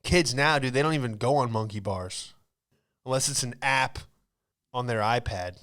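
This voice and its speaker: A man's voice: mid-range voice, moderate pace, a bit bright. A man in his late twenties with an American accent, a podcast host telling comic stories in a casual, slangy, rambling way. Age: late 20s. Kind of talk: comedic storytelling.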